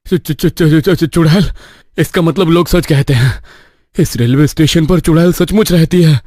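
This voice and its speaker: A man's voice: fairly high pitch, normal speed, darkish tone, no room sound, lightly acted. Man playing a nervous stationmaster, dry sound. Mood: terrified. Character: nervous young male stationmaster from the city, sceptical, placating. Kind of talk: cartoon horror story acting.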